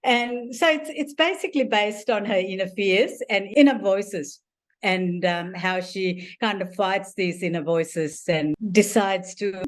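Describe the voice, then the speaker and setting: An older woman from Sri Lanka, an author and family therapist, answers interview questions. She talks at length in a rambling, warm way, full of "you know" fillers and restarts. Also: lowish voice.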